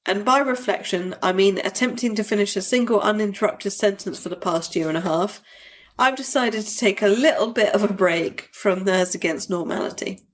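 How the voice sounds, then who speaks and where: A woman's voice: medium pitch, moderate pace. Woman with a stuffy cold voice. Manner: dry, self-mocking humour. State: bunged up with a cold. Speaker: podcast co-host. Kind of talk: casual podcast chat.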